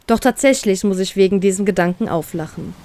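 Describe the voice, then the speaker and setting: A woman's voice: mid-range voice, fairly quick, heavy background noise. A woman reading a scripted story aloud as its narrator, in a dramatic storytelling style. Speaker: narrator of fan fiction audio story.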